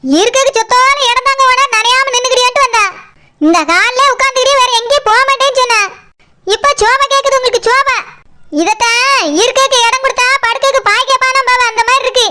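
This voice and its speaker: A woman playing a bossy, irritable female house owner in a comic cartoon, scolding sarcastically in Kanyakumari Tamil, with her voice pitched up. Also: fast, pushed and bright, a little hiss or hum. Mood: scolding, sarcastic.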